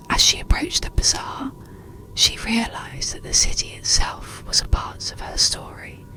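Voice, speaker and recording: A narrator telling a bedtime story in a slow, soothing, breathy ASMR whisper. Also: medium pitch, bright, forceful tone, rough recording. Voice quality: breathy, unvoiced whisper with creak.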